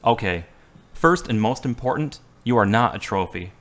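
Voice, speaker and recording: A man playing a wounded, guarded young man who speaks in a flat, terse way. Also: medium pitch, normal speed.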